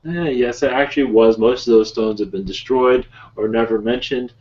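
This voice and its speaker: A man voicing an ancient otherworldly spirit guide in a calm, solemn, halting manner with measured, broken phrasing. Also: mid-range voice, close to an everyday voice. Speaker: channeler leading a webinar session. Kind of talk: slow improvised channeled speech. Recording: muffled lo-fi webinar call audio.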